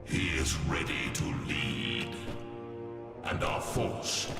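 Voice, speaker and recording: A man acting a slow, sinister alien herald. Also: close to an everyday voice. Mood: ominous, gloating. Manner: slow, ominous, declamatory. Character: menacing alien servant heralding an invasion, grandiose, sinister. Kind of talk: theatrical villain monologue. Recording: roomy echo under loud music.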